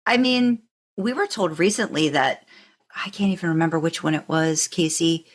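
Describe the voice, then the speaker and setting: Rambling woman co-host, slightly low voice. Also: normal speed. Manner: rambling, repeats phrases. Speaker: podcast co-host. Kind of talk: casual fan podcast chat.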